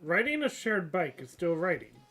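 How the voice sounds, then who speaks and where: A deadpan young lawyer woman who talks her way around every question with cool, flat excuses, as a stuffed-up reader puts her on. The voice sits in a mid pitch and sounds a little nasal. Soft music plays under the game story. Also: normal speed. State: stuffed-up nose. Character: evasive, dryly self-assured young female lawyer.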